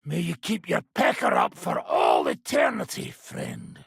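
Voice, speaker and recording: Video game role: rough medieval warlord, combative and profane, badly husky, pitched high for a man with wild swings. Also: huge pitch swings, unhurried, fairly bright tone, no room sound, studio quality, heavily acted. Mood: drunken, boisterous. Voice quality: gravelly, raspy. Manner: blunt, profane, gruff. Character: coarse, combative, foul-mouthed medieval mercenary commander. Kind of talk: video game character acting.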